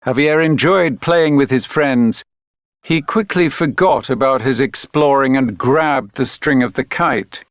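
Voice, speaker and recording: A man reading a children's read-along story as a narrator, deliberate and expressive. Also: medium pitch, dry recording, studio quality. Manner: deliberate, clearly phrased, expressive reading for learners. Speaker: textbook audio narrator.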